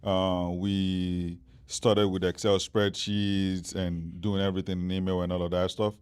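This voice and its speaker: A man's voice: deep voice, flat delivery, unhurried, darkish tone, clear, resonant tone. A Nigerian man in his forties, a startup founder, answering interview questions with many fillers and restarts. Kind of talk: interview conversation.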